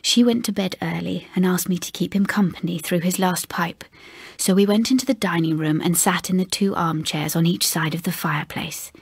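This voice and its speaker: A woman voicing a reserved, proud middle-aged English housekeeper in a ghost story, speaking slowly and haltingly. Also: lowish voice, fairly even intonation, gravelly, breathy edge, no echo, clean studio sound. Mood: wistful, grave. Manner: slow, halting, understated.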